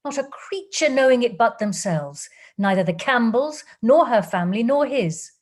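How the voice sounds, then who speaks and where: Woman reading as an anxious older lady, trembling, loud, urgent, lively pitch. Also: moderate pace. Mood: earnest. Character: anxious gentle older woman confiding news.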